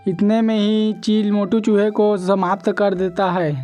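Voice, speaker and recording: Man, story narrator, high-pitched, mellow tone, flat intonation, scripted children's tale. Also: measured pace, soft background music.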